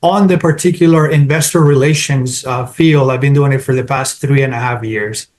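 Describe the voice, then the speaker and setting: A man's voice: mid-range voice, steady tone, moderate pace, a little mellow. A man from Colombia with a Colombian Spanish accent, answering interview questions in an orderly way, laying out his points one by one. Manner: structured, lists points in order. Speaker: digital marketing consultant.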